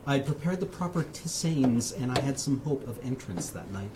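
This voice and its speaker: A man reading in the voice of a solemn, fearful occult dreamer, in a measured, formal, literary manner with hushed phrase endings. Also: medium pitch, animated, noisy background, natural voice. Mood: solemn, confiding.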